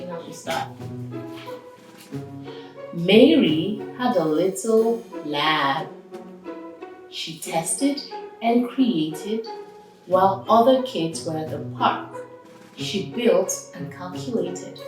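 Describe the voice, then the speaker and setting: A woman hosting a children's read-aloud, expressive and animated, mixing storybook reading with chatty questions to young listeners. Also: on the low side, takes it slowly, pauses often, over music. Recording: very echoey room, distant mic.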